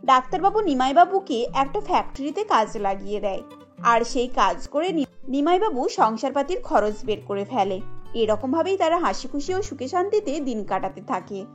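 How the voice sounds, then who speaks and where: A woman's voice: sits high, sing-song, normal speed, faint music behind. A woman narrating a scripted cartoon story as its storyteller.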